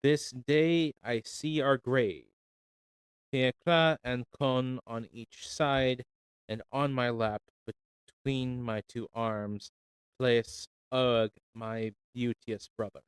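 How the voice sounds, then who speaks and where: A man's voice: medium pitch, steady tone, slow, clean tone, no room sound, natural voice. A man reading aloud as a withered old woman, once a cursed swan-child, chanting a solemn lay. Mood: solemn pleading.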